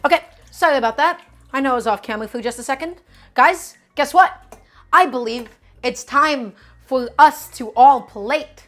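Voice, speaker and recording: A woman's voice: sits high, normal speed, fairly bright tone. Upbeat woman hosting a cooking stream. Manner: chatty, keeps addressing viewers as "guys"; upbeat, hypes up the audience. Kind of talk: live cooking stream, reading chat.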